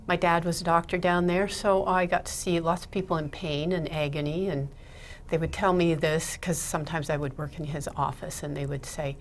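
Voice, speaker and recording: Low-voiced chatty Ontario woman. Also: flat delivery, normal speed, a little hiss or hum. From Ontario, Canada. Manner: chatty, laughs between thoughts. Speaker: guest, Mitzvah Technique teacher. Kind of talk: casual interview talk.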